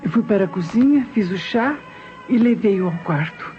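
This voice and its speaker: A Brazilian actress playing a deferential, loyal housemaid in a radio drama, giving a respectful and earnest account. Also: female voice, mid-range voice, expressive, normal speed, close to an everyday voice. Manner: respectful, earnest, narrating step by step. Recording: dull old radio recording, music behind.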